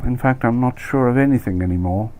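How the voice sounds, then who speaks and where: A man's voice: mid-range voice, a little fast, darkish tone, slight background noise, pitched above the performer's own voice, close to an everyday voice, poor audio. A man voicing a brittle, betrayed expat wife, terse, bitter and impatient, in a comic story reading. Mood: terse, wounded. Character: Mrs Tregunter-Smythe, brittle, exasperated betrayed expat wife. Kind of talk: acted dialogue in comic short story reading.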